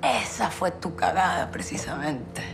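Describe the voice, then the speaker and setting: A woman's voice: on the low side, lively intonation, normal speed, vocal fry. A woman playing a defiant, contemptuous Mexican crime boss in a video game, mocking in manner. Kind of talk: cinematic game acting. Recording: loud music under the voice.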